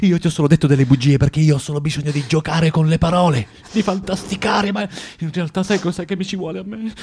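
Guy playing bitter self-loathing underground man, radio play, slightly high voice, dramatic and volatile. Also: steady tone, a little mellow, a little hiss or hum, lightly acted. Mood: furious ranting. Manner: volatile, declamatory, halting dramatic delivery. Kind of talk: theatrical radio drama acting and monologue.

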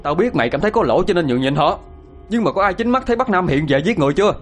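A man's voice: sits high, expressive, racing, soft background music, pitched above the performer's own voice. A man doing a furious hot-headed young man. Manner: shouting, cursing, defiant.

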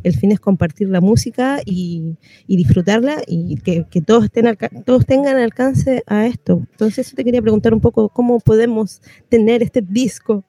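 A Chilean radio host with a relaxed, dark-toned voice talks in an informal, chatty way. The delivery is that of a casual community radio interview, recorded close and dry with very little room sound. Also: medium pitch, moderate pace, dry recording.

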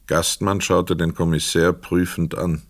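A man reading scripted literary narration for a radio play in a measured, precise storytelling manner. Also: low voice, little pitch movement, no echo, studio quality.

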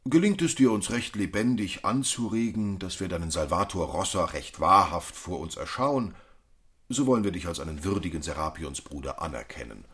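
Sardonic critic, very low husky voice, brusque, declamatory, period radio drama. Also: male voice, lively intonation, normal speed, a bit bright, exaggerated voice. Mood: challenging. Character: brusque, mocking male literary critic among friends. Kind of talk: German radio play acting, period literary dialogue.

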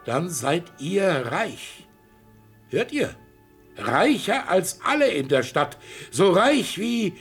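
An actor playing a proud, stubborn old art collector, speaking with fervent, boastful enthusiasm in a radio drama. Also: male voice, on the high side, fairly bright tone, full character voice. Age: older.

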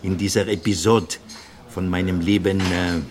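A man's voice: low-pitched, flat delivery, moderate pace, slight background noise, close to an everyday voice. An actor playing an older French naval officer in a radio drama, with a French accent, searching for words and slipping into his native French. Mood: puzzled reminiscing. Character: elderly French naval officer, talkative, worldly, halting memory.

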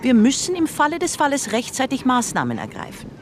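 A woman's voice: high voice, wildly swooping pitch, a little fast, background noise. An actress playing a stern, formal, commanding woman who believes in conspiracies, with an Austrian accent, in a radio drama. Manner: stern, formal, earnest, imperious. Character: commanding, conspiracy-believing wife leading a separatist group.

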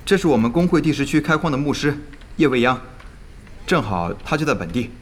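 Animated, obliging guild organizer. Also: male voice, a little high, a little fast. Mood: eager, flattering. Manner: obliging, chatty, excitable. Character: eager, deferential male gamer guild organizer. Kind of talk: audio drama acting.

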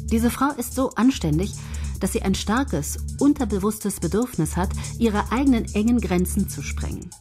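An actress playing a controlling, motherly head writer in a radio drama, deliberate, authoritative and patronising. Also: medium pitch, expressive, a little mellow, soft background music, natural voice. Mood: patronising, firm.

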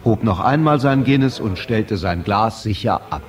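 A man playing the storyteller of a radio drama, narrating in a measured, precise, literary rhythm. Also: fairly low pitch, lively intonation, soft background music, lightly acted. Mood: measured, storytelling. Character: male storyteller of an English pub miniature. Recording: crowd chatter behind the voice. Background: pub crowd chatter.

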